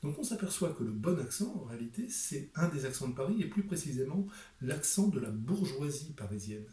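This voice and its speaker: A man's voice: mid-range voice, sing-song, fairly quick, dark tone, distant mic. Man giving an emphatic talk in an echoey room. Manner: emphatic, rhetorical, with frequent hesitations. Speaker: author presenting his book. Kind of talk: direct-to-camera book presentation.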